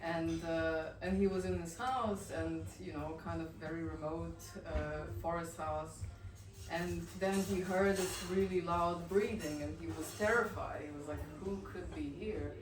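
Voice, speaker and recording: A woman from Bosnia, a poet reading her work aloud and introducing each poem in a soft, halting way, her not English. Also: fairly low pitch, fairly even intonation. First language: Bosnian-Croatian-Montenegrin-Serbian. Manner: soft-spoken, halting between poems with fillers. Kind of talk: poetry reading with spoken introductions. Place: café reading venue. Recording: roomy echoey café, voice from far off, background noise.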